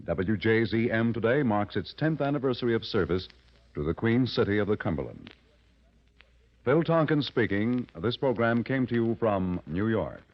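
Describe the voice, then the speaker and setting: A man's voice: on the low side, lively intonation, moderate pace. A man announcing formally and emphatically in scripted 1940s network radio style. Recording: muffled vintage radio broadcast. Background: music.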